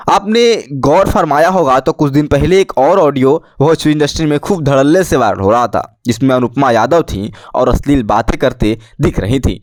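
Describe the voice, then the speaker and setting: A man's voice: medium pitch, darkish tone, no echo. A man presenting news commentary for an online channel, explaining things to viewers and posing rhetorical questions.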